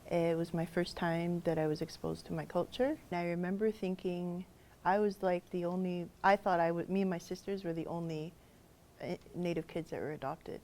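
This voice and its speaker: Woman, fairly low voice, earnest and reflective, halting restarts, faint hum behind. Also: steady tone, warm tone. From Canada. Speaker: activist. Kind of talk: documentary interview.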